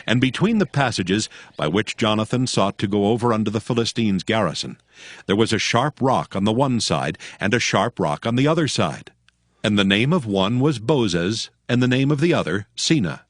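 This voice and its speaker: A man narrating a dramatized Bible in a solemn, measured, scripted style. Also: fairly low pitch, animated, no room sound, clean studio sound. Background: music.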